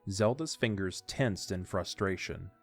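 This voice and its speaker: A man narrates an audiodrama from a script. His voice sits fairly low, and soft music plays behind the story. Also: unhurried.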